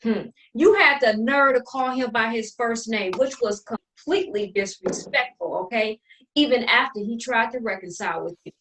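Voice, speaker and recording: A haughty, sharp-tongued sister in a stage family drama , played by an actress. Her voice is fairly high and sits a little away from the mic. She lectures in polished words, coated in sugary sarcasm. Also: normal speed, far from the mic, a little hiss or hum, rough recording. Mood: stern, exasperated. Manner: condescending, sarcastic, enunciated scolding with sugary endearments. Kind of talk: dramatic play reading.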